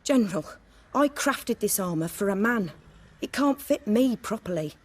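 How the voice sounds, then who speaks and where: An actress playing a proud, determined armorer in a fantasy game, direct and self-assured. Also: female voice, mid-range voice, lively intonation, normal speed, lightly acted. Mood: firm, respectful. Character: proud, determined female armorer, confident in her craft. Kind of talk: fantasy video game acting.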